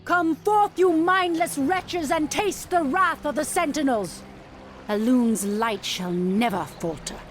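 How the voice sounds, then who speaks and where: A woman's voice: sits high, slow pace, faint music behind. A woman voicing a proud warrior priestess with a regal, dramatic manner in a video game. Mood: defiant. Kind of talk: video game cutscene voice acting.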